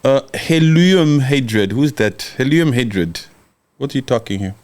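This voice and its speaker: A man's voice: medium pitch, animated, unhurried, darkish tone. Brash joking man on a livestream. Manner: brash, joking, mocking and laughing often. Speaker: livestream host. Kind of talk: casual livestream chat reading viewer comments.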